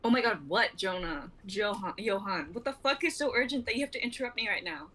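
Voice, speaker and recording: A woman's voice: medium pitch, expressive, a little fast, fairly bright tone, lightly acted. A woman playing a cocky, ruthless female bounty hunter with a sardonic, brash delivery in an amateur script reading. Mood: exasperated.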